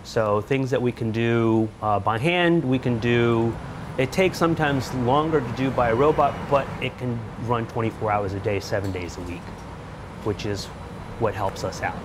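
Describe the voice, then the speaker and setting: A middle-aged man explains his research in a relaxed, unscripted way, pausing with fillers and restarting sentences. His voice sits at an ordinary pitch for a man, with a faint hum of air conditioning in the lab behind him. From Taiwan, grew up in the US. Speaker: research scientist. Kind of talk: casual interview about his lab work. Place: indoor laboratory.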